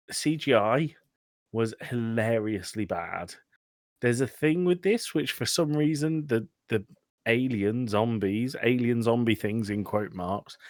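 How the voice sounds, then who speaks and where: A man's voice: mid-range voice, no echo, clean studio sound. A man hosting a casual film review chat in a blunt, sweary, exasperated way. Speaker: podcast host.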